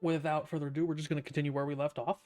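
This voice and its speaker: A man's voice: on the high side, little pitch movement, racing, a little mellow, dry recording, faint music behind. A man streaming a game live, casually joking and laughing about the story. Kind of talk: casual live gaming commentary.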